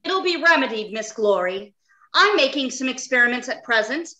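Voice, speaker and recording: A woman plays a clinical robot scientist in an old-time radio play. The voice is high and bright, precise and without feeling. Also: expressive, moderate pace. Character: clinical, unfeeling scientist who makes robots.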